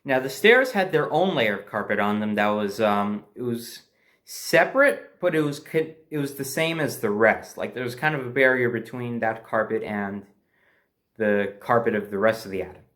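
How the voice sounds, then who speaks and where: Male storyteller, mid-pitched voice, casual and unscripted, hesitant, backtracks to correct himself. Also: expressive, normal speed, fairly bright tone.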